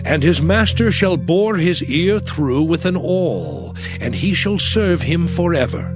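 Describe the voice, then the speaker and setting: An earnest prophet declaims the law in a fairly high male voice. He speaks very slowly and deliberately over music, in the manner of a biblical audio drama. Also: very animated. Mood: declamatory, instructing. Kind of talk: dramatized scripture reading.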